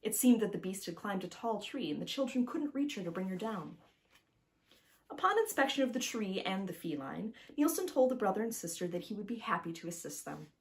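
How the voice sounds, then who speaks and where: A woman author reading her own picture book aloud with animated expression and chatty asides to the listener. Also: mid-range voice, brisk. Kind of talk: expressive children's storybook narration.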